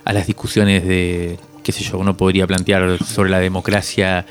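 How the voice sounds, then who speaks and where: A man in his forties from Cuba, speaking reflectively as an interviewed author, often halting as he searches for words. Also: low voice, level tone.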